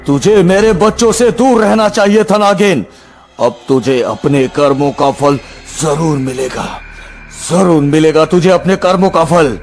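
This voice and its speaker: A man voices a proud, protective king in a cartoon who speaks earnestly and with emphasis. His voice sits fairly high and moves slowly, with faint music behind it. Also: darkish tone. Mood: stern. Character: worried king and father, proud and grateful.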